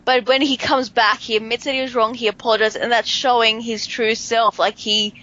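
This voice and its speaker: Woman co-host with a faint Southern twang, higher-pitched, playful and argumentative. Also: level tone, a bit bright. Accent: hints of Southern American. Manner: playful, argumentative, drawn-out emphasis. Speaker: podcast co-host. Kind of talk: casual chat. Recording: dull lo-fi podcast audio.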